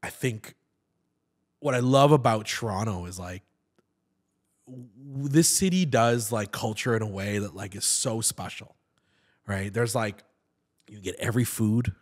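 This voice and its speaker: A man in his thirties chats as a podcast guest, his voice sitting a little low. He talks in an animated way, tripping over repeated words and restarting his sentences. The sound is close and clean, from a studio mic on a boom stand. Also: measured pace, pauses often. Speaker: podcast guest, actor and comedian. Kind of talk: casual interview chat.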